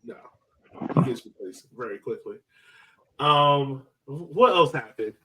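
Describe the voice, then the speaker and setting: A man co-hosting a soccer podcast, telling match stories casually in an excitable, animated way. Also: medium pitch, takes it slowly, slightly rough, rough recording. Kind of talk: casual sports chat.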